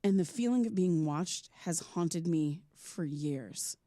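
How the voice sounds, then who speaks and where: A woman's voice: lowish voice, animated, slow, mellow, dry recording, clean studio sound. An actress playing a troubled, haunted woman podcaster, earnest and hesitant, in a naturalistic audio drama. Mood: brooding, determined.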